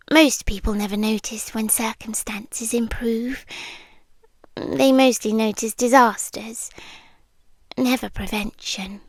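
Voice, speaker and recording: A British woman playing an ancient, possessive, obsessively devoted immortal lover in an intimate ASMR roleplay, speaking in a hushed, breathy, deliberate way that often slips into whispers. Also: on the high side, no echo. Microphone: close microphone, dry.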